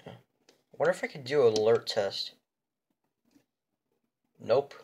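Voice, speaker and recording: A man giving a casual show-and-tell as a vlogger, rambling and halting as he goes. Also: medium pitch, expressive, darkish tone, a little hiss or hum. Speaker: vlogger showing a radio collection. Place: indoors in a room at home.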